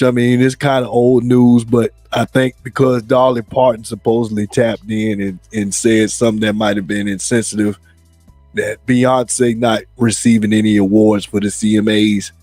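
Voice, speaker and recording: A man around forty hosting a casual group chat in animated, slangy talk. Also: medium pitch, normal speed, no echo, faint music behind. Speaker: show host.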